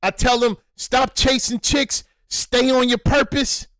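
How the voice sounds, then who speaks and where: A man speaking with a high-pitched voice delivers reaction commentary. He talks at a deliberate, slow pace, lecturing and repeating his points for emphasis. The sound is dry and close. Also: fairly even intonation, a bit bright, dry recording. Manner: preachy, repetitive, emphatic.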